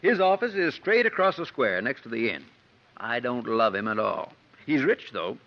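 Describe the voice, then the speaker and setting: Hearty old-time innkeeper, dull radio sound. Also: male voice, mid-range voice, sing-song, normal speed. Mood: frank, easygoing. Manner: hearty, folksy, welcoming. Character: jovial, talkative country innkeeper with mutton chop whiskers. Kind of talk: 1950s radio acting. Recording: dull vintage 1950s radio recording.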